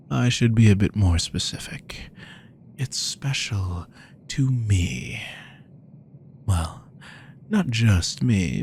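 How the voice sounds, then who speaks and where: A man voicing a courteous, predatory male vampire who poses as a lab technician, speaking softly and teasingly and sometimes dropping to a whisper. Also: on the low side, creaky, slight background noise, full character voice. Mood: menacing. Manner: slow, soft-spoken, teasing, drops to whispers. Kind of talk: audio roleplay to the listener.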